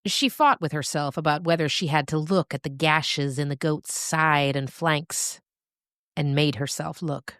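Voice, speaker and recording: A woman narrator reading a scripted story in a deliberate, dramatic storytelling manner. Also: low-pitched, steady tone, fairly bright tone, no echo, studio quality.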